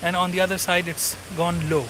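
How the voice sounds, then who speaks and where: A man's voice: sits high, level tone, brisk, background noise. A man in his forties, not a native English speaker, narrating a riverside walk in a rambling way and often searching for words. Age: 40s. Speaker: walking vlogger. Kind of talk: casual walking commentary. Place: outdoors by a river.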